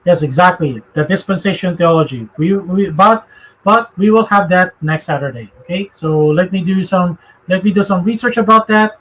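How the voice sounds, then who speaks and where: A man with a Filipino accent and a high-pitched voice leads a live religious discussion. He talks haltingly, circling back over his phrases as he explains and takes questions. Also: a bit bright, low-quality recording. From Philippines. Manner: halting, repeats phrases as he goes.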